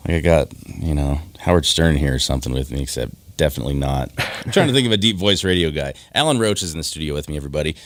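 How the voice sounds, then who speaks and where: Male radio host, low-pitched, joking and upbeat, dry close studio sound. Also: very fast, few pauses, a bit bright, no room sound. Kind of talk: casual radio interview.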